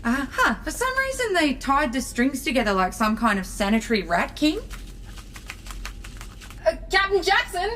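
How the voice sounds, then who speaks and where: Jokey breezy spaceship crew girl, fairly high voice, irreverent, sci-fi comedy audio drama. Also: sing-song, moderate pace, long pauses, fairly bright tone, a little hiss or hum. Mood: sheepish, joking. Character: laid-back, cheeky, wisecracking female starship crew member.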